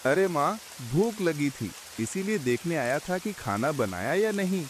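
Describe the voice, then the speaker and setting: High-voiced, sheepish son-in-law. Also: male voice, big pitch swings, a little slow. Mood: defensive. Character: sheepish village son-in-law making excuses. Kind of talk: comic cartoon story dialogue. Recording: faint hiss with rain sounds.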